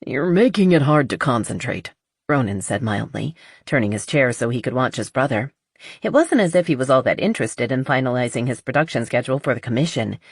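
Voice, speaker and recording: A woman with a husky voice reading scripted romance narration as an audiobook narrator. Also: a bass voice, lively intonation, fast, no echo, studio quality.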